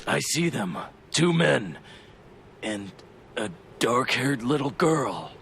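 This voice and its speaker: A man acting an excitable, watchful retainer in a video game. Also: mid-range voice, takes it slowly, vocal fry, background noise, heavily acted. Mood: hushed, alert.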